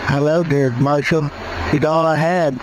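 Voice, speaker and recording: An actor playing a numb, grieving older homesteader with a rural American drawl, halting and subdued, in old radio western style. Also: male voice, on the high side, fairly even intonation, close to an everyday voice. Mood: grieving, ashamed. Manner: plain-spoken, subdued, halting. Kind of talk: 1950s radio western acting. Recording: noisy old 1950s radio recording.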